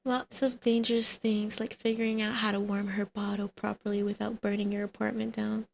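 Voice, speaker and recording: Woman playing a creaky-voiced, teasing, flirty villainess. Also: mid-range voice, little pitch movement, a little fast, a little mellow, close to an everyday voice. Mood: playful, mischievous. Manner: intimate, teasing, often dropping to a breathy whisper. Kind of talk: ASMR roleplay.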